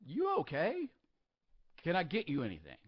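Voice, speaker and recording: A man at a live table read playing a helpful, deferential janitor who speaks haltingly and politely. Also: fairly high pitch, wildly swooping pitch, dull audio. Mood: puzzled, concerned.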